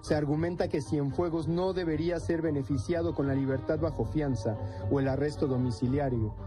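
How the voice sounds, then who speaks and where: A male reporter reads a scripted news voice-over in a steady, formal delivery. His voice sits a little high for a man. A clear music bed runs under him. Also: fairly quick.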